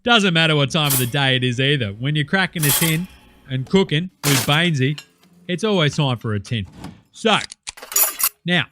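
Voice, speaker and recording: A man's voice: sits high, pushed and bright, a little hiss or hum, heavily acted. A man doing a brash, crude Aussie TV cooking host. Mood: instructive. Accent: Australian. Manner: crude, boisterous, slangy, playing to a studio audience. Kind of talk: comic sketch, cooking show parody.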